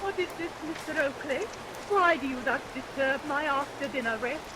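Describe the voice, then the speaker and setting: A woman's voice: sits high, moderate pace, lightly acted. An actress playing an indignant, defiant Victorian woman, declaiming haughtily in a vintage radio melodrama. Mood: indignant, scolding. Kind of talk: old-time radio melodrama acting. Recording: noisy vintage radio recording under rain sounds.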